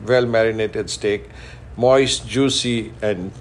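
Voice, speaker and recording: A man's voice: lowish voice, fairly even intonation, slow pace, slight background noise. A middle-aged man from Pakistan and India, a chef and restaurant owner being interviewed, who talks with enthusiasm and repeats words as he lists things. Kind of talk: interview guest.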